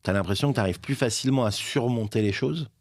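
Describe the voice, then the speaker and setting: A man hosting a podcast, asking relaxed conversational questions. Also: medium pitch, fast, no echo, studio quality. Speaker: podcast host. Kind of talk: interview questions.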